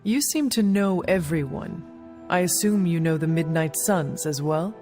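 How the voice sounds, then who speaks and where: An actress playing a poised, curious warrior woman in a video game, speaking in a formal, measured way. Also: on the low side, darkish tone, soft background music, lightly acted. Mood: formal, inquisitive. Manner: formal, measured, few contractions. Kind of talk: video game cutscene dialogue.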